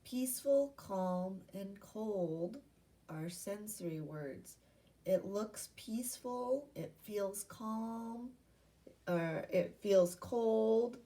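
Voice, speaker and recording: A woman's voice: medium pitch, drawn-out words, warm tone, recorded from a distance. A woman primary school teacher giving an interactive read-aloud lesson to young children, asking questions and repeating key points in an instructional way.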